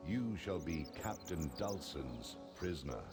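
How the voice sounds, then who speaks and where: A super deep lordly god for a fantasy game, formal n grave, bit impatient, loud music under it. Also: male voice, lively intonation, heavily acted. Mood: commanding. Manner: formal, lofty, measured authority. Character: regal, commanding god of order, grave and impatient. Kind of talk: scripted fantasy game acting.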